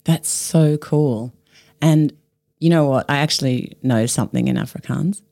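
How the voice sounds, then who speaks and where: An interviewer asking friendly questions, eager and quick to exclaim. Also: medium pitch, creaky, mellow, no echo, studio quality.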